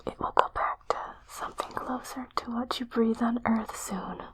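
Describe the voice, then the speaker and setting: A woman playing a calm, reassuring sci-fi doctor in a hushed, soothing whispered ASMR roleplay. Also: a little high, lightly acted. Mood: gentle reassurance. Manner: slow, soothing, hushed delivery. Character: calm, reassuring female doctor on an alien-planet expedition.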